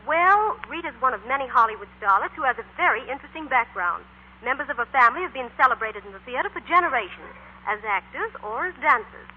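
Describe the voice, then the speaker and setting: Female 1940s radio ad spokesperson: high, lively, muffled with faint hiss. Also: quick pace. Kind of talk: scripted 1940s radio advertising chat. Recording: muffled, noisy old radio broadcast.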